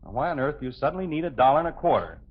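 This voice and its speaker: A man's voice: on the high side, sing-song, very fast, husky. An actor playing an exasperated middle-aged suburban father in a 1950s radio sitcom, blustering and hemming his way through excuses to escape the house. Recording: dull vintage 1950s radio recording.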